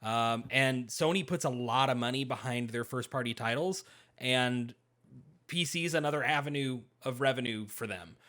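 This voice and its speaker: A man's voice: medium pitch, normal speed, fairly bright tone. A man talking casually through gaming news as a panelist on a talk show.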